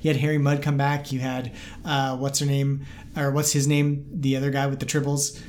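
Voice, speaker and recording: A woman's voice: a bass voice, level tone, normal speed, a little mellow, a little hiss or hum. A woman hosting a podcast, chatting and debating casually in an insistent, bantering way. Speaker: podcast host.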